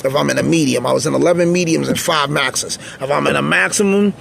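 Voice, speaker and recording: Raspy gravelly dude, kinda high voice, blunt street talk, just rambling to the camera. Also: male voice, fast, fairly bright tone, slight background noise. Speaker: channel host, former prisoner. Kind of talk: casual unscripted monologue.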